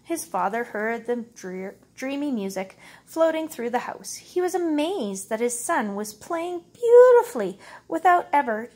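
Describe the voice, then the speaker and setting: High, husky woman reading a storybook aloud. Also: big pitch swings, a little slow, few pauses, mellow. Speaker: church storyteller reading a picture book. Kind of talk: expressive read-aloud narration.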